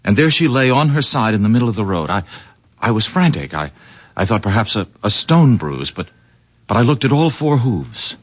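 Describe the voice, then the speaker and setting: Husky, excitable village horseman. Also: lowish voice. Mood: awed, earnest. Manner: hesitant, halting, courteous, effusive. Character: polite, excitable village horse owner, earnest man. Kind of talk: 1970s radio drama acting. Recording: dull old radio recording.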